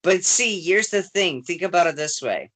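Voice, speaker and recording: A man's voice: high voice, expressive, normal speed, pushed and bright, no echo. Young man with dry teasing quips. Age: young adult. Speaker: podcast co-host. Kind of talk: casual chat.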